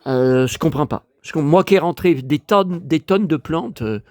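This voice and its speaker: An older man from France with a hoarse voice, grumbling and talking to himself as he shows his latest finds to viewers in a casual monologue. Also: on the high side, normal speed, a little mellow, no room sound. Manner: grumbling, exasperated, talks to himself. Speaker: vlogger showing flea-market finds.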